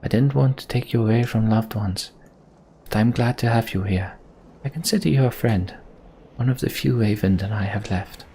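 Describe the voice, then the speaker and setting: A man voicing an earnest, devoted sorceress in a fantasy video game, measured and sincere. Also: on the low side, warm tone, slight background noise, lightly acted. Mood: earnest, tender. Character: earnest, devoted female mender sorceress, solemn.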